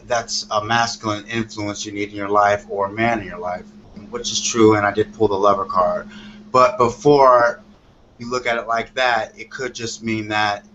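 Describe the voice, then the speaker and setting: A man doing a live tarot reading as a guest on a call-in show, speaking haltingly with pauses and partly reading out card meanings. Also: fairly low pitch, a bit bright. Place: remote online call on a talk show. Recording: noisy, muffled internet call.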